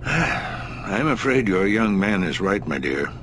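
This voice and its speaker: An actor playing a calm, reassuring older man who runs a space station and protects the fugitives. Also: fairly low pitch, takes it slowly. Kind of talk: video game cutscene acting. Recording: noisy ambient background.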